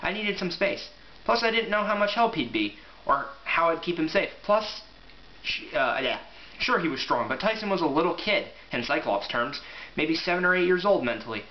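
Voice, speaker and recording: Guy with a highish voice, offhand book talk and reading, bit of hiss, roomy echo. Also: lively intonation, moderate pace. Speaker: amateur book reviewer and reader. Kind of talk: casual rambling review and book read-aloud. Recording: echoey room, muffled, faint hiss.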